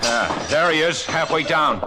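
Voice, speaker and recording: An actor playing a gruff, dogged police lieutenant in an old-time radio detective drama, terse and commanding. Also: male voice, fairly high pitch, expressive, unhurried. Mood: hard, commanding. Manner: terse, hard-boiled, commanding. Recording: noisy old radio recording.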